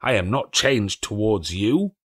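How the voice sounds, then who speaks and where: A man's voice: on the low side, expressive, a little slow, no echo, studio quality. Man playing an impatient, proud man in his prime. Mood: defensive. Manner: defensive, retorting. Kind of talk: dramatic audiobook reading.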